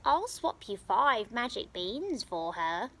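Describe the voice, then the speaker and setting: Woman doing a croaky, strange little old-tale trader man. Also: mid-range voice, big pitch swings, slow, pushed and bright, a little hiss or hum, heavily acted. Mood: sly, boastful. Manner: croaky, emphatic. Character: strange little bearded man, sly magic-bean trader.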